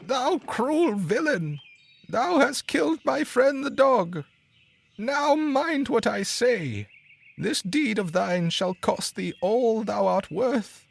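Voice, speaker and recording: A man voicing a small, bold female sparrow, high and strained, drawing out slow, fierce threats. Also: very animated, vocal fry, fairly bright tone, slightly rough, exaggerated voice. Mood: vengeful.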